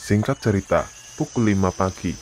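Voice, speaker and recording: Low-voiced Indonesian male horror narrator. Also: moderate pace, darkish tone. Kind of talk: scripted horror story narration. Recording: music behind the narration.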